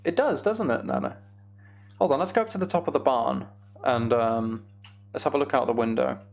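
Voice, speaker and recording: A man's voice: mid-range voice, sing-song, brisk, gravelly. A man livestreaming a game in casual chat, musing aloud and replying to viewers as he goes. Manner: musing aloud, reads and answers viewer comments. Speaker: live streamer.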